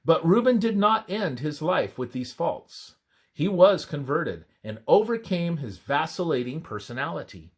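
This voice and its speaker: A man reads a scripted religious text aloud as devotional narration, in a measured, emphatic scripture-reading manner. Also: fairly high pitch, very animated, husky.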